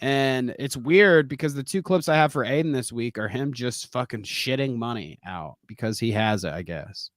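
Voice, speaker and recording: A man's voice: mid-range voice, animated, normal speed, hardly any pauses, no room sound, studio quality. A man co-hosting a casual streaming podcast who laughs often and swears freely. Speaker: podcast co-host. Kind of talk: casual chat.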